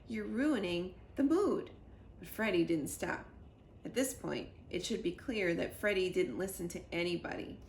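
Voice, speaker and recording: A woman narrating a children's storybook in an expressive read-aloud style. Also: fairly low pitch, moderate pace. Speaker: storybook reader. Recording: echoey room with faint noise.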